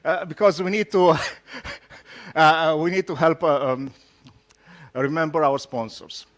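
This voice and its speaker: A man from Romania with a Romanian accent gives an informal tech talk, speaking haltingly and teasing his audience with jokes. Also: on the high side, fairly even intonation. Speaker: conference speaker, solution architect.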